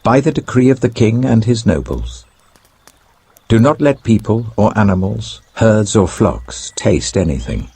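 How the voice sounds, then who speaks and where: A man's voice: lowish voice, big pitch swings, a little slow, mellow, husky, no room sound, voice pushed up, full character voice. A British man voicing a stern, penitent king who proclaims commandingly in a scripture reading. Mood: commanding, earnest. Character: stern, penitent king issuing a royal decree.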